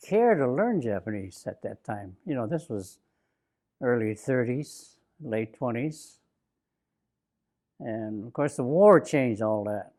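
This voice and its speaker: A man in his nineties from Bainbridge Island, Washington, telling his own memories in an oral history interview, slow and reflective, halting with fillers and restarts. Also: medium pitch, darkish tone. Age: 90s. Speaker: interviewee, WWII-era Japanese American. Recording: interview recording.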